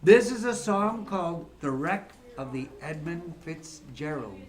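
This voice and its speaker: A man's voice: fairly high pitch, unhurried. A man in his fifties from Ontario, a musician chatting and teasing an audience between songs. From Ontario, Canada. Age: 50s. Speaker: musician entertaining seniors. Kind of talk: joking banter between songs.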